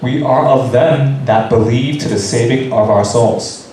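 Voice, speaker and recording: A man's voice: mid-range voice, measured pace, warm tone. A man interpreting a sermon into English phrase by phrase, with occasional restarts. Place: church hall. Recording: very echoey hall, distant mic, faint hiss.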